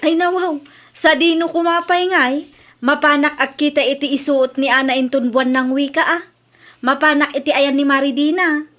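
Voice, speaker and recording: A woman's voice: high-pitched, fairly even intonation, moderate pace, a bit bright. A woman playing a worried, thrifty village mother in a comedy drama, with an Ilocano accent and an exclamatory, plaintive way of talking. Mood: fretful.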